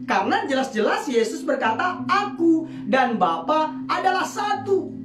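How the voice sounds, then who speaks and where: A woman's voice: high-pitched, very animated, unhurried. A woman from Medan preaching a sermon, firing rhetorical questions and calling for amen responses. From Medan, Indonesia. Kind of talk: sermon teaching. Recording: echoey room, distant mic, music behind. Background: guitar music.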